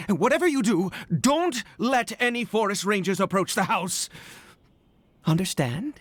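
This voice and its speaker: An actor playing a wary, weary male researcher in a fantasy video game, polite and halting. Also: high-pitched, expressive, fairly bright tone, no room sound, heavily acted. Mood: measured, instructive. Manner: halting, hesitant, polite, chuckling. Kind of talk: video game character acting. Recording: studio voice over game music.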